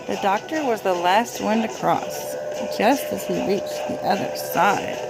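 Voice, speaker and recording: A woman's voice: medium pitch, gravelly, poor audio. A woman reading a children's storybook aloud to a small child, as a parent would.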